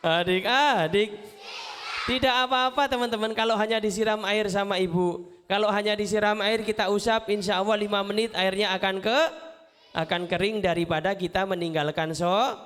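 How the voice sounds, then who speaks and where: A man giving an animated, interactive Islamic motivational talk to schoolchildren, asking questions and repeating phrases. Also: high voice, moderate pace, slight background noise. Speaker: children's storyteller and motivational speaker. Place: live event at a school before children.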